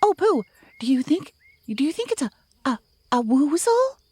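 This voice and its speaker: A woman voicing a small, timid, excitable male piglet in a storybook, eager and breathless with questions. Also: high voice, moderate pace, voice pushed up. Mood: nervous excitement.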